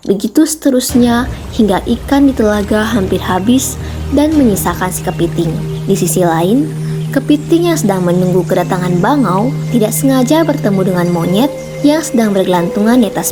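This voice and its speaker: A woman tells a children's-style animal fable from a script. Her pitch is average for a female narrator. Music is clearly present behind her voice.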